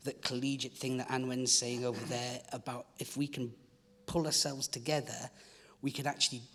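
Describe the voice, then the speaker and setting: Man, film producer, panel talk, halting, repeats himself, reverberant hall. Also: medium pitch, fairly bright tone. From Wales. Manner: loose, halting, repeats words. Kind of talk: panel discussion. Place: conference hall.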